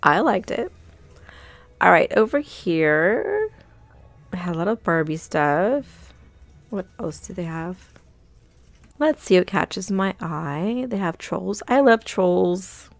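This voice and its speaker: Woman, low gravelly voice, casual shopping vlog chatter, upbeat, phone-recorded in a store aisle. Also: very animated, slow, pauses often, no echo. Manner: chatty, upbeat, reads out prices. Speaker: shopping vlogger. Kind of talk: casual shop-with-me narration. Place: inside a large retail store.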